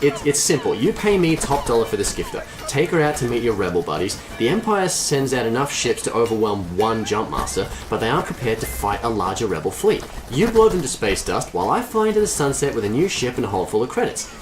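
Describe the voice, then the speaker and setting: A man's voice: medium pitch, very animated, fairly quick, few pauses, music in the background, close to an everyday voice. A man playing a cocky, wisecracking smuggler pilot in an audio drama, glib and self-assured. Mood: confident pitch.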